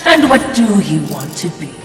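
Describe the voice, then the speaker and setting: An actress playing a fawning yet vicious spirit sidekick in a video game, shrill, indignant and theatrical. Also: female voice, sing-song, moderate pace. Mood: probing. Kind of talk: dramatic video game cutscene acting. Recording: very echoey, distant, music behind.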